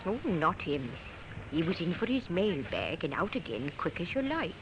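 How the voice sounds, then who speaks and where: An actress playing a chatty, obliging woman clerk at a post office in a radio crime drama, brisk and sure of herself. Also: fairly low pitch, very animated. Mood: chatty, helpful. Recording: muffled, noisy old radio recording.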